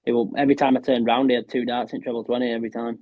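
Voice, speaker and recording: A man's voice: mid-range voice, flat delivery, rapid-fire, no room sound. A man with a Yorkshire accent, a professional darts player, chatting modestly as a guest on a podcast, with lots of restarts. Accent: Yorkshire English. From Yorkshire, England. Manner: chatty, modest, frequent restarts and "were" for "was". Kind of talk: casual podcast interview. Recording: tinny phone-like line, dry.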